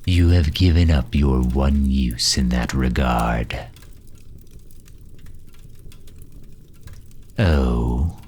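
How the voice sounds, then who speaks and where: Abyssally deep, husky dark knight. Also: male voice, full character voice. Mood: cold, bitter. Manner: grave, deliberate, haughty, formal. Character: ailing, menacing male dark knight who absorbs souls. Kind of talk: slow dramatic audio roleplay monologue.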